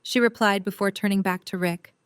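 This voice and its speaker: Clear, smooth female story narrator. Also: medium pitch, fairly even intonation, a little fast, dry recording.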